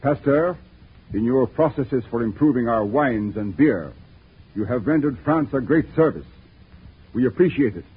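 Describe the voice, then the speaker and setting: An imperious emperor in an old radio play. His voice is very rough and husky, his manner formal, stern and quick to anger. The recording is thin and phone-like, as from 1940s radio. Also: mid-range voice, lively intonation. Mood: stern command. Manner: commanding, formal, haughty. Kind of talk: 1940s radio drama acting.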